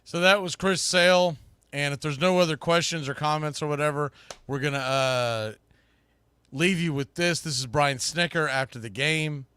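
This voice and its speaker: A man hosting a sports podcast with casual live commentary, rambling and halting as he thinks aloud. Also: a little high, bright, forceful tone, clear, resonant tone, no echo.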